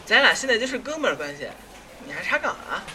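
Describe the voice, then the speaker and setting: A woman's voice: medium pitch, big pitch swings, quick pace, background noise, close to an everyday voice. A woman voicing a clingy, scheming young man, a whiny and coaxing ex-boyfriend, in a Chinese audio drama. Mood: bickering. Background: background music.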